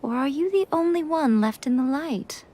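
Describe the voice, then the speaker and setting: A woman playing a sly, teasing, poised shrine priestess in an anime video game, speaking in a coy, deliberate way. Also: high-pitched, animated, warm tone. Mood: grave, knowing.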